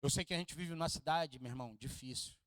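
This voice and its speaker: Rio pastor guy preaching, kinda high voice, goes quiet then loud, jokes w the crowd, dry close sound. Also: fast, no room sound. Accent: Carioca Portuguese. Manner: swings from hushed, low asides to loud, high preaching peaks; jokes with the congregation and asks them to repeat lines. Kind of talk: sermon. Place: church hall.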